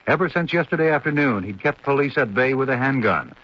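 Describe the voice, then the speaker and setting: Male news reporter on old radio, bit raspy, middle pitch, muffled sound. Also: animated, quick pace, a bit bright. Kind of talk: scripted radio newscast. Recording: dull old radio broadcast.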